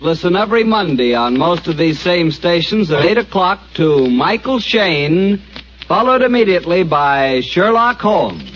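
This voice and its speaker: Man, 1940s radio announcer, slow, lively pitch, muffled old recording, faint music behind. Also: slight background noise.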